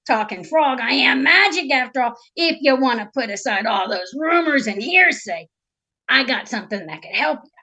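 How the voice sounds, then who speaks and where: Woman doing a loud, cocky little male frog. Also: high voice, lively intonation, normal speed, exaggerated voice. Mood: slick, mocking. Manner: loud, slangy, wheedling street talk. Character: small cocky male talking frog, pushy slick hustler. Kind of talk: storytelling character voice.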